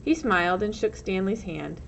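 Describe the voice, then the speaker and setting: A woman's voice: medium pitch, moderate pace, vocal fry. A woman reading a storybook aloud as narrator, in the manner of a teacher. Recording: muffled lo-fi recording, loud background noise.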